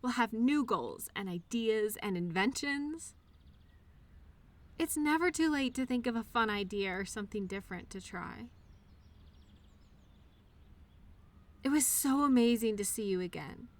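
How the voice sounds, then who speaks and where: A woman playing a loyal childhood imaginary friend in an audio roleplay, speaking in an earnest, reassuring, gently encouraging way. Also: on the high side, expressive, moderate pace, natural voice. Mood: fond, hopeful. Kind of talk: one-sided audio roleplay, intimate scripted conversation.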